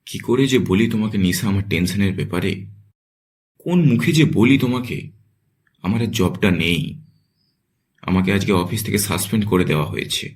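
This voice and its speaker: A man's voice: deep voice, lively intonation, fairly quick, long pauses. A man voicing a worried, irritable young husband who is out of work, in a horror cartoon. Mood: worried, dejected. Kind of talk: Bengali horror cartoon voice acting.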